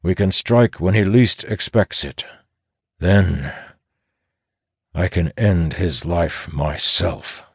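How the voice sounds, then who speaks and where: A man voicing a reluctant, solitary ranger leader, grim and determined, with an earnest, measured delivery in a fantasy audiobook. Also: low-pitched, husky, dry recording, clean studio sound, heavily acted. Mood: grim, resolute.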